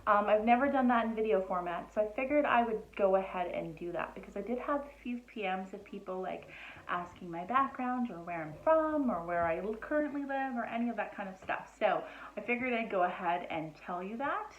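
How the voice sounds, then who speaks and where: A woman in her thirties, originally from Nova Scotia, chats casually to the camera about herself. She rambles a little, with plenty of ums and self-corrections, at a middle pitch. The recording sounds roomy and a bit dull, like a home setup. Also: a little fast. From Nova Scotia, Canada. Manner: chatty and relaxed, many ums and restarts. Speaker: psychic medium introducing herself.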